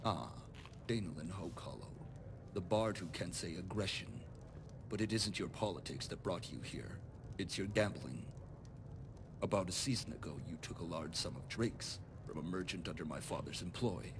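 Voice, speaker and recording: Low, haughty nobleman played by a man, noisy rumble. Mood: stern, haughty. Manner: formal, measured, condescending. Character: calculating noble bastard son demanding repayment, cold and composed. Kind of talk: video game mod voice acting.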